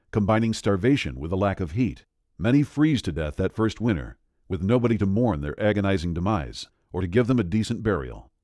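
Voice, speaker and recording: A man's voice: sits low, a little mellow, no room sound, clean studio sound. A man narrating a scripted voice-over in a grave, dramatic, urgent manner. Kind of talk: scripted doom-laden voice-over pitch.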